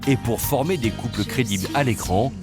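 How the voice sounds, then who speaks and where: A man's voice: mid-range voice, fairly quick. A man reading scripted voice-over narration for a television documentary. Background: music behind the voice.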